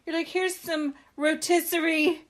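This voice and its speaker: A woman's voice: very high-pitched, little pitch movement, measured pace. A woman co-hosting a podcast in casual chat, teasing and blunt, swearing freely. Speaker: podcast co-host.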